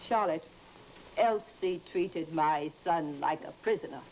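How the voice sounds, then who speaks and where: A woman's voice: deep voice, slow pace, a little mellow. A woman playing an outspoken, disapproving older mother-in-law in an old radio drama. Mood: disapproving, emphatic. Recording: muffled, hissy vintage recording.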